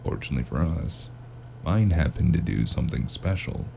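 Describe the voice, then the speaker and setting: A man playing a wry, weary hard-boiled investigator who narrates and talks in a cyberpunk noir audio drama, with a deep gravelly voice. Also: lively intonation, moderate pace, mellow, slight background noise, exaggerated voice. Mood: wry narrating. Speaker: narrator and lead character.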